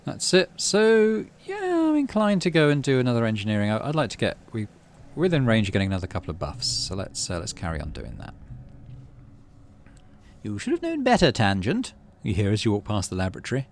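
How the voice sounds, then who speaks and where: A man's voice: on the low side, lively intonation, fast talker, few pauses, slight background noise. A man hosting a game playthrough, thinking aloud casually and narrating story text. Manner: thinks aloud, hesitant fillers. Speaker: let's play host.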